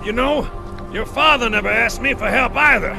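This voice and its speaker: An actor playing a wry veteran marine sergeant, gruff and clipped, in a game cutscene. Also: male voice, very high-pitched, normal speed, over loud music. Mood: gruff urgency. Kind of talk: video game cutscene acting.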